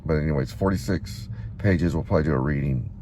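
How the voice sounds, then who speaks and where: A man's voice: very deep voice, quick pace. A middle-aged man talking casually in a vlog, wandering and reminiscing as he goes, with noise behind him. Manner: wandering, reminiscing, spells things out. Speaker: vlogger and amateur playwright. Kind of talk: casual rambling vlog talk.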